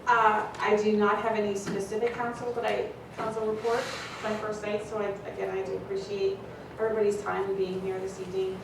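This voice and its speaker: A woman's voice: medium pitch, level tone, vocal fry. A woman council member speaking hesitantly at a township meeting, full of fillers and restarts. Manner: hesitant, filler-heavy, measured. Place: council chamber. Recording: echoey distant room mic with hiss.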